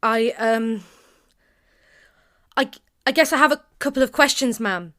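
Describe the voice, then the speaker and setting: Woman playing a high-voiced, hesitant female survivor. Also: pushed and bright. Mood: questioning. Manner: halting, frank, questioning. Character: hesitant but principled young female survivor. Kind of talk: audio drama acting.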